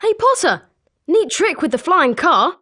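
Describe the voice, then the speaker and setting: An excitable British schoolboy wizard, voiced by a woman, speaks in a high young voice. The pitch swings freely through eager, scripted video game lines. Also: measured pace, heavily acted. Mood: chatty. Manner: animated, expressive.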